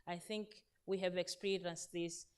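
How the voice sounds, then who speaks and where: A woman in a slightly low voice. She addresses a conference audience earnestly as a veteran teacher, pausing on fillers and correcting herself as she goes. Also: fairly even intonation, clean tone. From Zanzibar, Tanzania. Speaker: panel speaker, veteran teacher. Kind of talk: conference panel talk. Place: conference stage in Turkey.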